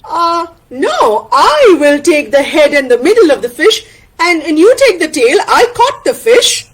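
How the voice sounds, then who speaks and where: Woman as a feisty, high-voiced young villager. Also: very animated, a little slow. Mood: indignant. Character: feisty, plain-spoken young villager, loyal best friend. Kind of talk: animated oral storytelling character voice.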